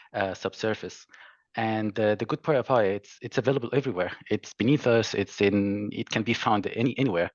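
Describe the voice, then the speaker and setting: Casting: young Egyptian-accented man, deep pitch, explaining on a panel, dry lo-fi sound. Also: dry recording. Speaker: panelist, geophysicist.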